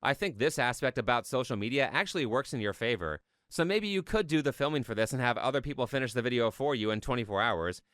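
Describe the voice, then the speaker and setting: A man's voice: medium pitch, animated, quick pace, few pauses, a bit bright, dry recording, clean studio sound. A man narrating scripted YouTube commentary in a blunt, critical way.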